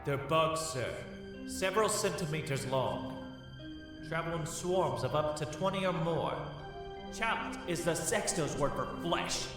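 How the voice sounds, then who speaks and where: A man's voice: fairly high pitch, lively intonation, unhurried. A man playing an earnest, deferential soldier reporting to his superiors in a comic dub. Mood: urgent, respectful. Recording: echoey, distant, music underneath.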